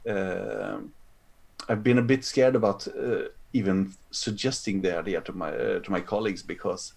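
Low-voiced Swedish game designer, hesitant. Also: male voice, moderate pace, gravelly, a little mellow. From Sweden. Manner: hesitant, many fillers, friendly. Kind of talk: casual podcast interview.

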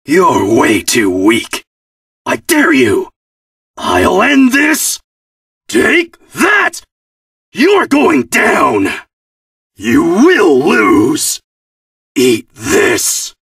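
A man's voice: a little high, wildly swooping pitch, very slow, creaky, pauses often, a bit bright, no room sound, studio quality, far from the mic, exaggerated voice. A man playing a cocky, boastful armored fighter in a video game, throwing out short battle taunts and grunts. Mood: taunting.

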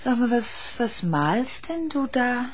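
A woman playing a kindly, curious aunt in a children's radio play, chatty and halting, repeating her words indulgently. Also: on the high side, big pitch swings, husky, heavily acted. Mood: curious, puzzled. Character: kindly, curious, homely aunt of a young boy. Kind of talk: 1970s German children's radio play acting.

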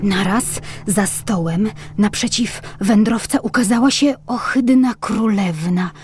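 A woman narrating a fairy tale dramatically as a storyteller, in a husky voice. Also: medium pitch, unhurried, darkish tone.

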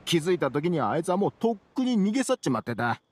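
Animated, rough-spoken caravan merchant. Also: male voice, a little high, quick pace. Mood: explaining. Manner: colloquial, gruff wording, emphatic. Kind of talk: anime game voice acting. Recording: game music behind the voice.

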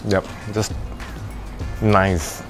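A man in his forties talks casually across a restaurant table, relaxed and a little hesitant. His voice sits low. Faint music plays behind him. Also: very slow. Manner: relaxed, hesitant, says "you know" often. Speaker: diner guest. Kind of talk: casual dinner conversation. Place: upscale restaurant dining room.

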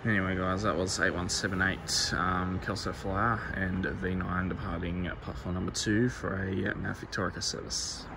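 Casting: a man with a lower voice, laid-back trainspotter, outdoor phone audio with loud station noise. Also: normal speed, creaky, few pauses. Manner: relaxed, with frequent um and uh. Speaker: trainspotting vlogger. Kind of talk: casual narration to camera. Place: outdoor railway station platform. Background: station ambience and passing trains.

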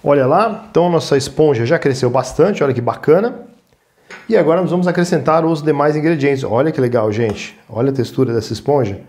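A man's voice: on the high side, darkish tone. A man with a Brazilian Portuguese accent hosting a casual step-by-step cooking demonstration, informal and instructive, often adding little check-in tags. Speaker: cooking show host.

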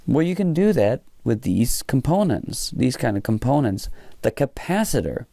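A man giving an informal hands-on technical explanation in a halting way, often pausing mid-sentence. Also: mid-range voice, very animated, gravelly, warm tone, no room sound, clean studio sound. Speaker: presenter of a hobby electronics tutorial.

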